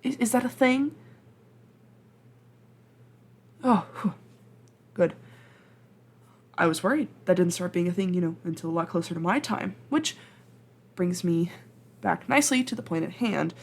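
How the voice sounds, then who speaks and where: A woman playing a flustered, awkward, chatty time traveler from the future in an ASMR roleplay, halting and rambling as she speaks to the listener. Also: on the low side, expressive, slightly rough.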